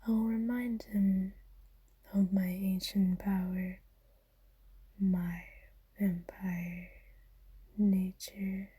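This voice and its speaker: A woman playing an ancient, wealthy vampire, a kind but possessive owner, in a soft, soothing whispered ASMR roleplay with formal phrasing. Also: mid-range voice, little pitch movement, drawn-out words, pauses often, husky, no room sound, full character voice. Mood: gently authoritative.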